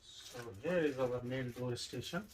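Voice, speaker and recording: A man's voice: mid-range voice, a little slow. A man with a mid-range voice presents a product unboxing as a review host. He explains each item step by step in short, simple phrases. The recording has some noise behind his voice. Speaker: tech review channel host.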